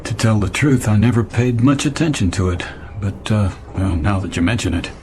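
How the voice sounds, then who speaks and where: An actor playing an insecure, worn-down middle-aged advertising executive in a radio drama, halting, weary and brittle. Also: male voice, lowish voice, fairly even intonation, a little mellow, slight background noise, lightly acted. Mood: embarrassed, polite. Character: frayed, insecure thirty-eight-year-old male advertising executive.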